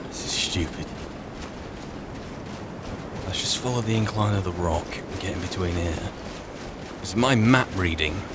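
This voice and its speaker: Man muttering over loud game noise. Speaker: gameplay roleplayer and narrator. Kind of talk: in-character commentary over a fantasy video game. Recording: loud game ambience and rumbling behind.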